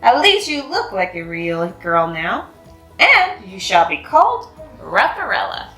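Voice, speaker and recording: A woman's voice: medium pitch, huge pitch swings, unhurried, pushed and bright, exaggerated voice. Woman voicing a bossy confident little girl. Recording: echoey room with faint music.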